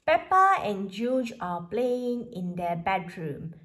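A woman reading a children's storybook aloud in a deliberate, expressive way, phrase by phrase. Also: mid-range voice. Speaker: storybook reader.

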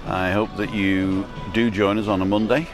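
A man's voice: fairly low pitch, flat delivery, normal speed, music in the background. A middle-aged man talking to camera outdoors in a casual, rambling vlog style. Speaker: vlogger. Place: outdoors at a bus stop and a bar terrace.

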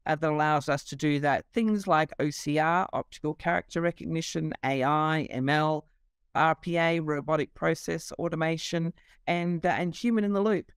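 A woman's voice: sits low, moderate pace, clean tone, no echo, studio quality. A middle-aged woman, a company CEO, explaining her business over a video call, fluent but with many fillers. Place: office.

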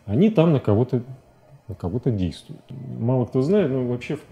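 A man in his forties talking as a guest in a studio interview, halting and repeating words as he searches for phrasing. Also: medium pitch, very animated, mellow, muffled recording, soft background music. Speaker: interview guest, animation director. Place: TV studio at a desk. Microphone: lapel mic.

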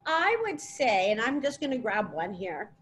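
Middle aged lady stylist explaining stuff on a video call from a shop, highish voice, background noise, kinda halting. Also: sing-song, a bit bright. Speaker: colour analysis stylist presenting. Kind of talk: informal webinar explanation with questions. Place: clothing boutique, indoors. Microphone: laptop or tablet on video call.

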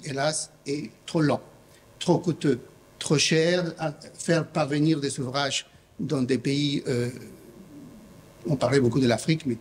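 Middle-aged guy at a conference desk mic, lots of ums and restarts, slightly high voice, faint hall noise. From Mexico. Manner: hesitant, many fillers and restarts. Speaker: publisher presenting at a conference. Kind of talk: unscripted conference talk. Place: large conference hall with audience. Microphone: desk microphone.

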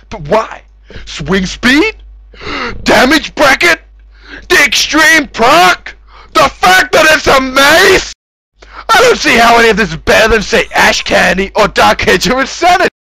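A man giving a mocking, over-the-top, profane read-aloud of gamers' posts, often breaking into shouts. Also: high-pitched, gravelly, no room sound, rough recording. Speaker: gamer in a voice chat.

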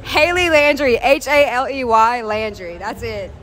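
A woman's voice: on the high side, lively intonation, unhurried, fairly bright tone. A young woman answering street interview questions bluntly and brashly, laughing often. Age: young adult. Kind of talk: casual chat. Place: outdoor street at night.

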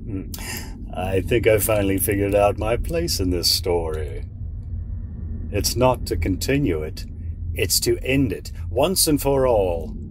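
Brooding fatalist played by a man, low and unhurried, grimly deliberate, audio drama. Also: lively intonation, a little hiss or hum. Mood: musing, grim. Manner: slow, musing, deliberate. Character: brooding, fatalistic man resolved to end it all.

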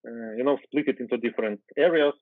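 A Ukrainian-native man in his thirties talks through code in a relaxed way, with fillers and restarts. He sits at home on a video call, and the sound is thin and phone-like. The audio is dry, with no room echo. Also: mid-range voice, fast. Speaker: guest software developer. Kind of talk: casual screen-share coding chat.

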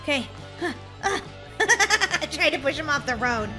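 A woman giving excitable game commentary as a video host, in a girlish, dramatic, exclamatory manner. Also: sits high, a little slow, over loud music.

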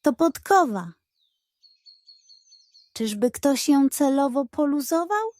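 A woman voicing a gruff, suspicious male sheriff in a children's Wild West storybook. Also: sits high, big pitch swings, slow pace, darkish tone, no room sound, pitched above the performer's own voice, full character voice. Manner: gruff, muttered exclamations.

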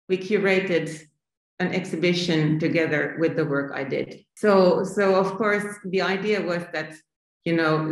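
Finnish woman, low voice, echoey room. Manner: thoughtful, reflective, restarts phrases. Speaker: artist guest. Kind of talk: remote interview answers. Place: sunny room at home. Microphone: video call laptop camera.

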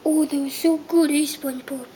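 A child voicing a dopey, good-natured cartoon starfish sidekick in improvised toy play, with halting speech. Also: sits high, fairly even intonation, dark tone, lightly acted. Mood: cheerful. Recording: faintly noisy home camera recording.

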